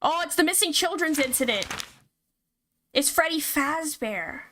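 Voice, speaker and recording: A woman's voice: high voice, lively intonation, a little slow, pushed and bright, slight background noise. A woman streamer reading visual novel text aloud and reacting casually, with swearing. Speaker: VTuber game streamer. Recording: music behind the voice. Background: game music.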